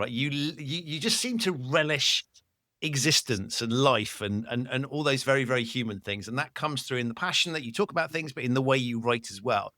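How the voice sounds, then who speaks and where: A man's voice: medium pitch, bright, forceful tone, no room sound, clean studio sound. A man hosting a podcast interview, asking reflective questions and searching for his words. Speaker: podcast host. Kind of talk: interview conversation.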